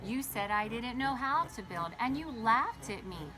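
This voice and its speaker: A woman's voice: a little high, animated, measured pace, a crowd in the background, poor audio. A woman voicing a proud, determined little girl builder from a storybook, speaking in firm, plain statements. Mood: firm, indignant.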